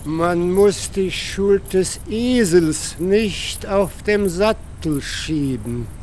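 A man from Russia, a professor reciting proverbs in a halting, deliberate way, with vehicle noise behind. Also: high voice, lively intonation, a little mellow. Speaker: language professor. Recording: faint car and outdoor noise.

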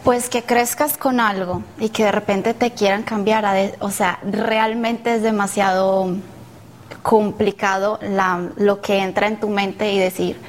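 A woman in her twenties from Colombia, a recent graduate, speaks earnestly and searches for her words as she goes. Her pitch is in the middle range. A faint noise sits behind her. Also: little pitch movement, measured pace. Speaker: panelist.

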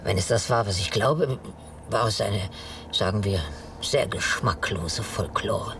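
An actor playing an excitable, wry male bus passenger in radio drama, halting and dry in manner. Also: lowish voice, recorded from a distance, background noise. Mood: wry, evasive.

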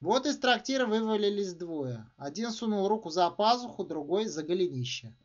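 A man reading his own literary short story aloud in a theatrical, expressive way, as an author narrating. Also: sits high, normal speed. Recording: dull, slightly muffled recording.

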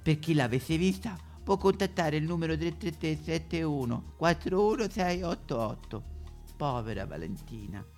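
A man's voice: a little high, lively intonation, moderate pace, a little mellow, soft background music. A man voicing a bitter, fearful mongrel dog in a satirical stage monologue, grumbling in colloquial Roman-accented Italian. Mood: pleading, mournful. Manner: grumbling, colloquial, exaggerated complaining.

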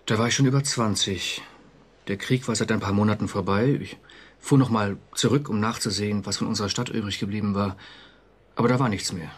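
An actor in a German radio drama playing an anxious, conscientious civil servant, confessional and agitated. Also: male voice, on the low side, fairly even intonation, fairly quick, slightly rough. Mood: haunted, confessing.

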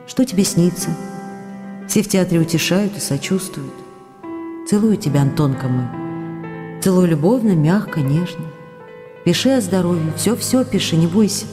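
An actress playing a lonely, adoring wife reading her letters to an absent husband, gently and emotively, in a radio drama. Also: fairly low pitch, normal speed, natural voice. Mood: tender longing. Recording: long reverb, faint music behind.

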